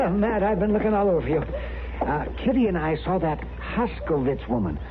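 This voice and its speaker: A man acting an excitable, fretful frontier town doctor in an old-time radio western. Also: high-pitched, normal speed, background noise. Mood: agitated. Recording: muffled vintage radio recording.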